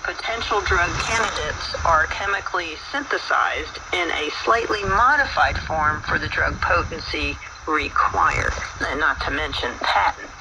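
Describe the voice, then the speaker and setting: Chatty radio herb-show host. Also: male voice, fairly high pitch, unhurried, vocal fry, few pauses, recorded from a distance. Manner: relaxed explanatory, many fillers. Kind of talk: radio talk show monologue. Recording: faraway voice with loud background noise.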